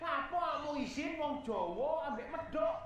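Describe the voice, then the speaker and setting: A man's voice: very high-pitched, animated, moderate pace, a bit bright. A man from Australia with a Javanese accent, talking in a casual, emphatic and teasing vlog style. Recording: echoey, distant, crowd behind.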